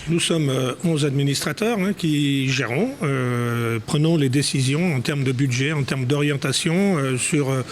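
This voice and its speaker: A man's voice: medium pitch, unhurried, few pauses, a little hiss or hum. A man who volunteers as a board member, explaining earnestly with frequent hesitations. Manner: hesitant, many fillers, earnest. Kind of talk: interview answers.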